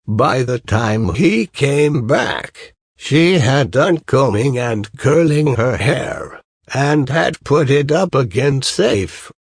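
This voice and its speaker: A man narrates a fairy tale at a very slow, deliberate pace. His voice is husky with a creaky edge, and his storybook delivery is measured but moves freely up and down in pitch. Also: no echo, clean studio sound.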